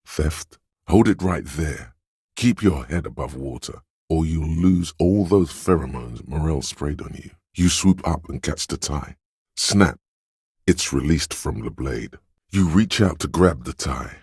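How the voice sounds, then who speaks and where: A man voicing a suave, wry inner voice of a detective in a video game, narrating in a measured, knowing way. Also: rumbling low, expressive, darkish tone, husky, dry recording, studio quality, exaggerated voice. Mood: cool, deliberate. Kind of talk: second-person game narration.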